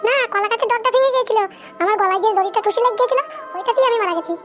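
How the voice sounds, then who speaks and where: A woman's voice: very high-pitched, racing, over loud music. A woman voicing a chatty young female ghost in a comic cartoon, plaintive and cheeky as she complains.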